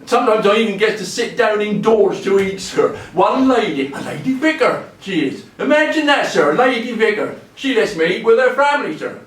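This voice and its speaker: An actor playing a cheery, deferential homeless wanderer of about seventy in a stage monologue, with a rural Hampshire accent and a halting, rambling way of talking. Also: male voice, high voice, animated, a bit bright. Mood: chatty, frank. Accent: rural southern English, Hampshire. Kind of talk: theatrical dramatic monologue. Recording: echoey room, distant mic, faint noise.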